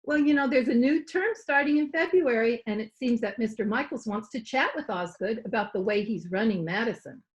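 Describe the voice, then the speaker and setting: A woman's voice: on the high side, lively intonation, brisk, hardly any pauses, natural voice, poor audio. A woman playing a chatty, absent-minded older landlady who rambles on in an old-time radio comedy. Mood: chatty, confiding. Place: recorded remotely at home.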